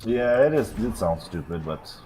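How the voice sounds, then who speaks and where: A man's voice: lowish voice, lively intonation, normal speed, warm tone, a little hiss or hum, low-quality recording. A man with a nasal voice chatting easygoingly with a friend during a game stream. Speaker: co-host friend. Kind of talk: casual gaming chat.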